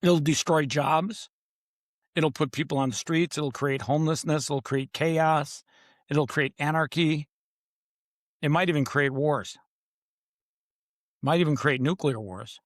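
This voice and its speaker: This is a man with a voice a little higher than most men's, recorded close and dry. He talks like a guest in a conversational interview. He is emphatic, stacking short punchy declarations and repeating them for dramatic weight. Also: unhurried, pauses often, dry recording. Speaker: podcast guest, author.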